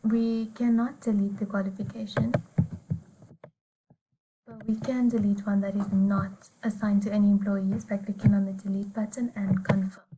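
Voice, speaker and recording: A young woman reading a scripted software tutorial as a student demonstrator. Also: medium pitch, little pitch movement, moderate pace. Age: young adult. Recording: noisy, with keyboard typing and clicks.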